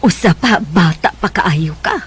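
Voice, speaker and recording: A woman playing a gentle, worried mother with a Cebuano Bisaya accent, caring and expressive, in a radio drama. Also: on the low side, measured pace, husky, exaggerated voice. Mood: worried, reluctant.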